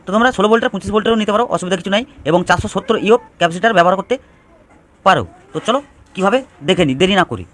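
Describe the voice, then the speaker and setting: High-pitched man explaining step by step. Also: racing, a bit bright. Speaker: DIY electronics tutorial host. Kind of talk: informal step-by-step tutorial narration.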